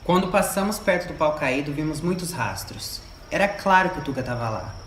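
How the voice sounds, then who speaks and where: A man playing a stern guerrilla operations chief in an amateur radio drama, speaking in measured statements. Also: fairly high pitch, lively intonation, fairly bright tone. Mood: cautious, serious. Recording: echoey room with background noise.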